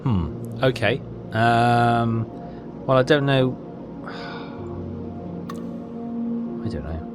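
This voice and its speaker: A man's voice: mid-range voice, animated, very slow. A man giving casual game commentary, muttering and hesitant as he thinks aloud. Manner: muttering, hesitant, puzzling things out. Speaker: gamer doing a walkthrough. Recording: music behind the voice. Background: game music.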